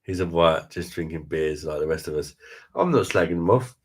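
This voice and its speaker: A middle-aged man with a very deep voice, hosting a casual beer livestream, reading out viewer comments and chatting in a laid-back, laughing way. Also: expressive, fairly quick, slightly rough. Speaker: beer review livestream host.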